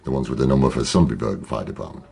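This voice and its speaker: Very deep, gravelly police detective. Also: male voice, very fast, warm tone, exaggerated voice. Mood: insistent. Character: gruff male police detective, probing, persistent, teasing. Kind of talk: radio drama acting.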